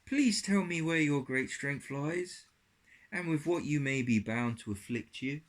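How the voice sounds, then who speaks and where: A man's voice: a little high, animated, unhurried, voice pushed up. A man voicing a coaxing, scheming, pleading woman in a children's Bible puppet story.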